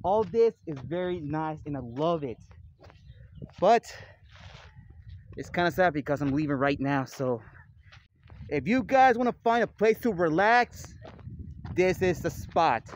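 Young man, slightly high voice, casual vlog narration, handheld phone outdoors, clearly noisy background. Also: expressive, a little slow, long pauses. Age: young adult. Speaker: vlogger, channel host. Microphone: phone at arm's length.

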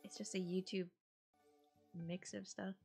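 A woman's voice: medium pitch, flat delivery, a little slow, gravelly, music in the background. A woman hosting a live dub session in casual chat.

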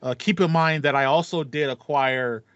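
A man's voice: a little high, fairly even intonation, normal speed, a bit bright, dry recording. An American man in his thirties co-hosting a casual gaming podcast, talking in a chatty, playful, slangy way. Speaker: podcast co-host. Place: small home room with acoustic foam, indoors. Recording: slightly dull, dry home recording.

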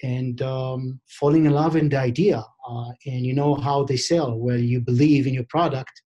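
A man's voice: medium pitch, steady tone, mellow, dull audio. Middle-aged Israeli man on a webcam call. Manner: halting, many fillers and restarts, broken English phrasing. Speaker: diamond expert and vendor. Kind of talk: video call interview. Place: office indoors. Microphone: video call webcam.

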